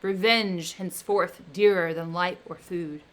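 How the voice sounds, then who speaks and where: Woman reader voicing a lonely, menacing male creature: bitter, vengeful, declaimed loud. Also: mid-range voice, expressive, normal speed.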